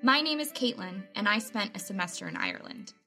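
A woman's voice: mid-range voice, wildly swooping pitch, pushed and bright, faint music behind. A woman co-hosting a casual podcast, talking in a playful, teasing way. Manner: playful teasing, quick laughs. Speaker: podcast co-host.

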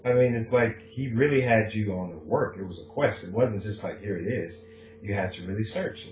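A man's voice: lowish voice, moderate pace, a little mellow, faint music behind. A man co-hosting a podcast, chatting casually and animatedly, often laughing and acting out quotes. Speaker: podcast co-host. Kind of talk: casual conversation. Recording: dull lo-fi recording.

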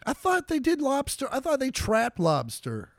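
A man's voice: very high voice, huge pitch swings, moderate pace, no room sound, studio quality. A man co-hosting a casual comedy podcast, full of crude, playful banter. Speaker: podcast co-host.

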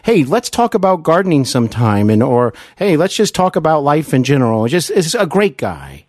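A man's voice: a little high, very animated, brisk, darkish tone, no room sound. Male interview host, fan-like and emphatic, very varied intonation, dry close sound. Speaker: podcast host. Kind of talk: conversational celebrity interview.

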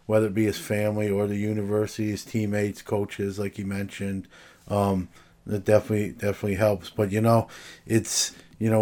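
Male, slightly deep voice, reflective and conversational, casual sports-talk delivery. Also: darkish tone.

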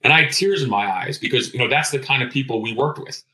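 A middle-aged man shares personal stories in an interview. He talks quickly in a bright, forceful voice, with an earnest, conversational delivery. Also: mid-range voice, a little hiss or hum. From born in Greece. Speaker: interview guest, retired intelligence officer.

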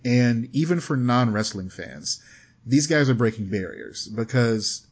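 Midwest guy on a podcast, laughs a lot, rambles, middle pitch, kinda dull lo-fi sound. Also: animated, a little slow, husky. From American Midwest. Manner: laughs often, rambling storyteller. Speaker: podcast co-host. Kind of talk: casual podcast chat. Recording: slightly dull lo-fi audio.

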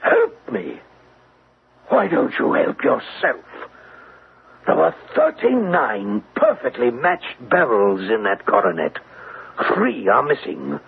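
A man's voice: medium pitch, wildly swooping pitch, takes it slowly, gravelly, exaggerated voice. An actor playing a stern, troubled older banker in a classic radio drama, formal and emphatic in his speech. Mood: stern, pleading. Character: anguished, stern, proud older banker father. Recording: tinny, noisy old radio recording.

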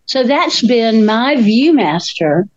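A woman, co-hosting a casual chat in a slow, thoughtful way. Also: on the high side, big pitch swings, no echo, lo-fi sound. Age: 60s. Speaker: co-host.

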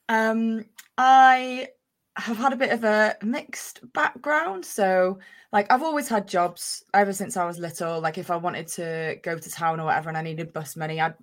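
A woman's voice: fairly low pitch, fairly bright tone. A woman in her thirties from North Wales, chatting as a podcast guest in a rambling way with lots of fillers. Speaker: guest, business owner. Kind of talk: casual interview chat.

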